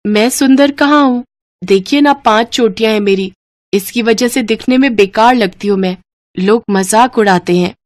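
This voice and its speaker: A woman's voice: on the high side, normal speed, no echo, studio quality, close to an everyday voice. A woman voicing a sad, self-conscious college girl in a cartoon story, with a plaintive manner. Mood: self-pitying.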